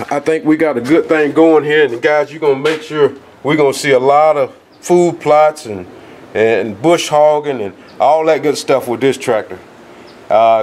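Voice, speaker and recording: A man with a cold and a nasal voice, filming a folksy, rambling walkthrough of a dark house basement on a handheld camera, with lots of fillers and restarts. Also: mid-range voice, a little hiss or hum. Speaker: outdoors video host. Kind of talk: casual vlog walkthrough.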